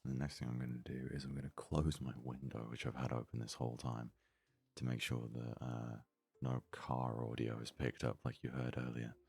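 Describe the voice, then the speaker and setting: A man giving soft-spoken ASMR game commentary, murmuring his thoughts aloud in a breathy voice that often slips into a whisper. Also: extremely low pitch, little pitch movement. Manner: murmured, unhurried thinking aloud. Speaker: let's play host.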